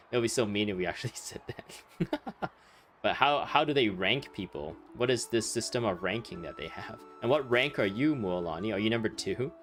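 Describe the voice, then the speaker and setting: A man's voice: fairly low pitch, animated, normal speed. A man hosting a casual game playthrough, rambling off into joking asides. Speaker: gaming video host. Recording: game music behind the voice.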